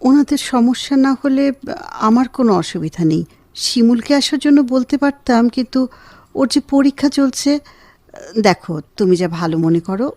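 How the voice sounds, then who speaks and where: Actress playing a lively-voiced, tender wife. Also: female voice, a little high, moderate pace, dry recording, close to an everyday voice. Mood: resigned, tender. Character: ailing wife, affectionate, gently insistent, self-effacing. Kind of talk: Bengali radio drama acting.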